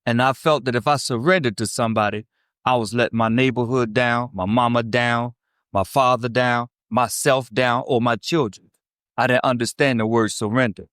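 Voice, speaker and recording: This is a man in his fifties with a Chicago accent, telling a meeting his story of recovery. He talks blunt and streetwise, swears freely and keeps asking "right?" His voice sits at an ordinary pitch and sounds dry, with no room echo. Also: a bit bright. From South Side of Chicago. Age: 50s. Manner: blunt, streetwise and profane, with frequent "right?" asides. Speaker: recovery speaker. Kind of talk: personal recovery testimony to a meeting.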